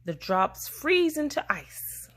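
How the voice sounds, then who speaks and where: A woman reading a children's science book aloud to a child in a deliberate, explaining way, pausing to answer questions. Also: high voice, very animated, background noise.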